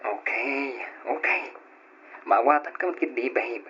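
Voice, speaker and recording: A teasing, affectionate young boyfriend, as a man plays him, with a lively, fairly high voice that swings around freely. A dull lo-fi radio drama recording with a faint hiss underneath. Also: a little slow. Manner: teasing, playful. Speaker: boyfriend character.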